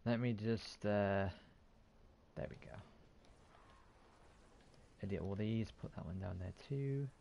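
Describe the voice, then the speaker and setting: A man with a fairly low voice and a lot of creak, talking casually as he plays a game. He half mutters to himself, self-deprecating, with faint game sound behind him. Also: takes it slowly, pauses often, mellow, a little hiss or hum. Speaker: gaming streamer. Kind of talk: casual gameplay commentary.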